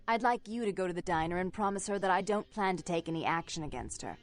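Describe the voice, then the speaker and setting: An actress plays a haughty vampire club owner from a dramatic video game. Her voice is fairly low for a woman, with formal, clipped, commanding delivery. Faint music sits behind her. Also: quick pace, natural voice. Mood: cool, businesslike.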